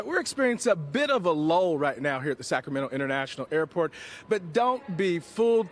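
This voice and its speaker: A man reporting live from an airport with energetic live-shot delivery. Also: a little high, a little hiss or hum.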